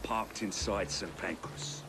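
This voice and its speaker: An actor playing a charming, playfully menacing Victorian crime lord in a video game, with a flamboyant, grandiose way of savouring words. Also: male voice, sits low, steady tone, slow pace, loud music behind the voice. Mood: gleeful, theatrical. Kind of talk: dramatic video game acting.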